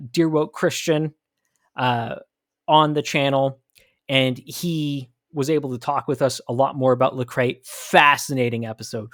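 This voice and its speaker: A man's voice: mid-range voice, no echo, clean studio sound. A man hosting a commentary podcast, arguing emphatically with rhetorical questions and repeated phrases. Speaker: podcast host. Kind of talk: opinionated commentary.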